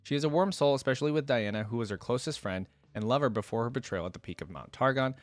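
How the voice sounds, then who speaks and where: A man's voice: medium pitch, fast, no echo. A man hosting a reaction video, chatting informally and enthusiastically as a fan and reading text aloud. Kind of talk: casual reaction commentary mixed with reading wiki text aloud.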